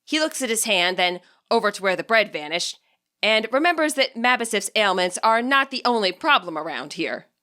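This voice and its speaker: A woman's voice: mid-range voice, lively intonation, normal speed, bright, forceful tone. A woman reading scripted story narration expressively, as the narrator of her own audiobook. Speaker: audiobook narrator and fan fiction author.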